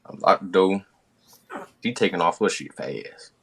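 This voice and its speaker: A man hosting a podcast, telling a funny personal story casually with animated, slangy retelling and heavy swearing. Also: low-pitched, a little slow, vocal fry, slightly rough. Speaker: podcast host.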